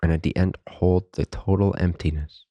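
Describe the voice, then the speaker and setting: A man guides a meditation in a very low, mellow voice. He speaks softly and calmly, leaving long pauses between reverent phrases. His delivery stays very even, close and dry. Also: no echo, highs cut off. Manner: calm, unhurried, reverent spiritual phrasing. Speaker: meditation teacher.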